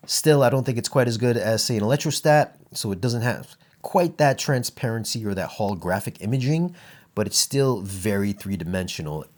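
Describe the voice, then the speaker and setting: A man's voice: lowish voice, moderate pace, a little mellow. A man giving a casual, conversational product review with an enthusiastic manner, as an audio gear reviewer.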